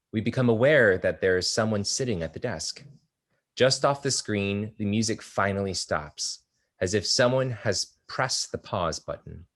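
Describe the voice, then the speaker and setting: A man reading the stage directions aloud in a steady, descriptive way at a table read of a stage play. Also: fairly low pitch.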